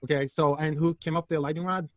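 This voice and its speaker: Fast-talking, joking man from Turkey. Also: fairly high pitch, steady tone, no room sound. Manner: joking, provocative, rhetorical questions. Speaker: physics lecturer. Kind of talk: classroom lecture with questions to students. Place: classroom.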